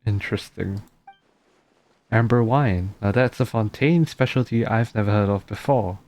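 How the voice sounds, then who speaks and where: Mellow, laid-back male game streamer. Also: on the low side, normal speed. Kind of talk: casual gameplay commentary, reads dialogue aloud. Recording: game music behind the voice.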